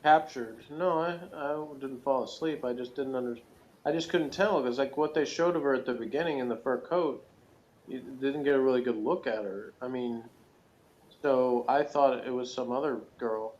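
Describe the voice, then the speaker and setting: A man's voice: mid-range voice, moderate pace, a little mellow. Self-deprecating man on dull, lo-fi audio. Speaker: co-reviewer. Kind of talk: casual chat. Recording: dull lo-fi audio, faint noise.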